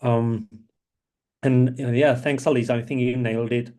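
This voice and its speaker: A man's voice: medium pitch, level tone, a little slow, dark tone. A middle-aged man talking on a webinar panel in a loose, conversational way, with frequent fillers. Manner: conversational, frequent "you know" and "um". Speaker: impact investor, panelist. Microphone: video call.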